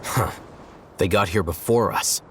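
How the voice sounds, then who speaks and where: A man playing a composed, learned character in a video game, speaking in a measured, analytical, dryly detached way. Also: low voice, very noisy. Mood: cool, dry.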